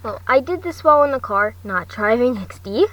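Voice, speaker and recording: A woman's voice: on the high side, lively intonation, moderate pace, dry recording, highs cut off. A woman narrating fan fiction as an amateur reader, often stumbling over words and restarting. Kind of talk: amateur audiobook narration with chatty asides. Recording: distant home mic, faint noise.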